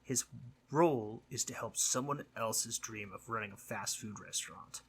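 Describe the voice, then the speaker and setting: Sleep demon , played by a man: fairly low, raspy, forceful edge; mischievous, earnestly concerned, casual teasing delivery for roleplay audio. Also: moderate pace, full character voice. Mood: earnest, frustrated. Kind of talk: scripted roleplay audio monologue.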